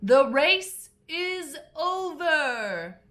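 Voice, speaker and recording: A woman's voice: sits high, very animated, very slow, fairly bright tone, voice pushed up, heavily acted. A woman voicing a solemn, pompous male dodo in a storybook, speaking in a declaring manner. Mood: proclaiming.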